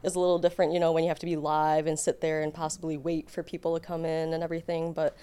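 A woman's voice: deep voice, level tone, fast, mellow, clear, resonant tone. A woman with a Michigan accent, chatting casually as an interview guest. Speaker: interview guest, adult content creator.

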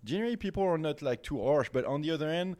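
A man's voice: fairly high pitch, animated, fast talker, darkish tone. A man with a French accent chatting as a podcast guest, rambling with lots of fillers and restarts. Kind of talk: casual podcast chat.

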